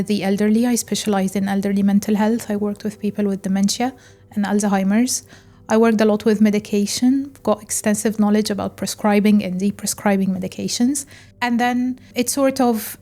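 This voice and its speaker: A woman from Egypt hosting a solo podcast, speaking in a calm, measured way with pauses and fillers. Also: medium pitch. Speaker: podcast host. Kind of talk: reflective solo podcast talk.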